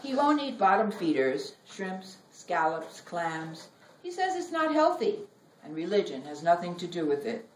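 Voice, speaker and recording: A middle-aged woman giving a live poetry reading in measured, deliberate phrases with dramatic pauses. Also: on the low side, big pitch swings. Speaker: poet reading own work. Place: library reading room. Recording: roomy, noisy recording, distant from mic.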